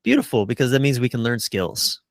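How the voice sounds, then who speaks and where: A man's voice: medium pitch, sing-song, brisk, gravelly, dry recording, clean studio sound. A middle-aged man giving earnest, casual answers as a relationship coach in a conversational interview. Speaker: relationship coach, podcast guest.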